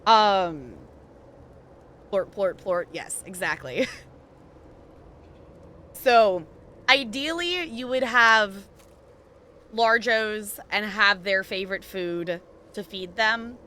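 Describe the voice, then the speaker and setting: A woman live streamer chatting casually with her viewers while gaming, thinking aloud with halting pauses. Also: mid-range voice, bright, forceful tone.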